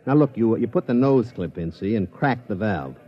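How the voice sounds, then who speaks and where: A man's voice: fairly low pitch, expressive, normal speed, close to an everyday voice. A man playing a slangy, swooping street hustler. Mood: breezy. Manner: streetwise slang, breezy wise-guy patter. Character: fast-talking, slangy male hustler and gambling tout, breezy. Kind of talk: 1950s radio drama acting. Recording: dull old radio recording.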